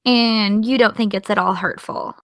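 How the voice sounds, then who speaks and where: A woman's voice: mid-range voice, fairly even intonation, moderate pace, a bit bright, no echo, clean studio sound, close to an everyday voice. A woman playing a needling, indignant young American woman in an audio drama, petulant and provoking. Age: young adult.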